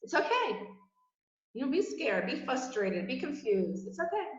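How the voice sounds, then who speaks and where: A woman's voice: sits high, very animated, moderate pace. A woman co-hosting a casual chat, giving earnest, reflective advice to clients and listeners. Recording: echoey, slightly muffled room.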